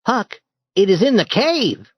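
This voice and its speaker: A man's voice: high-pitched, very animated, unhurried, husky, no echo, pitched above the performer's own voice, exaggerated voice. A man doing an eager, bossy young boy. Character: eager bold boy leading an adventure. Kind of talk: storybook reading. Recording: dull dry lo-fi recording.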